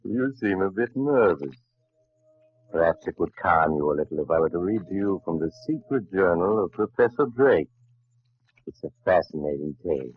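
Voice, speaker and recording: An actor playing a sinister, courteous doctor who narrates a horror radio drama in a measured, ominous, theatrical way. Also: male voice, low-pitched, expressive. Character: sinister, courteous doctor host reading a secret journal. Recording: muffled old radio recording with music.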